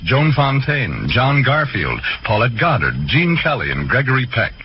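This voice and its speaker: A man's voice: medium pitch, slight background noise. An American man announcing formally and promotionally in scripted 1940s radio style. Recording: muffled 1940s radio broadcast, music behind.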